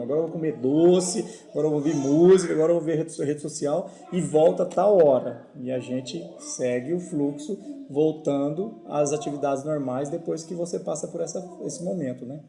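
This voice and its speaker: A Brazilian man co-hosting a podcast from home, explaining ideas in a relaxed way and repeating words as he thinks. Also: a little high, gravelly. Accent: Brazilian Portuguese. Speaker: podcast co-host. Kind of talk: casual podcast discussion.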